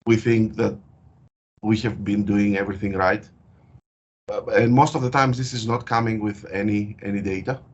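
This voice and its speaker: A man from Greece with a Greek accent, speaking in an interview as a guest researcher and explaining his work with frequent hesitant pauses. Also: lowish voice, fairly even intonation, breathy edge. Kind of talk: interview over a call. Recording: dull lo-fi call audio.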